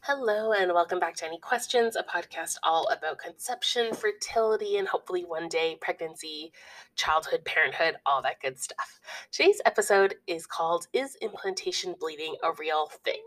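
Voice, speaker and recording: A woman's voice: on the low side, lively intonation, moderate pace, fairly bright tone, poor audio. Congested young woman chatting on a podcast. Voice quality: chatty, laughs often, many fillers. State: congested from illness. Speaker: podcast host. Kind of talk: casual solo podcast chat.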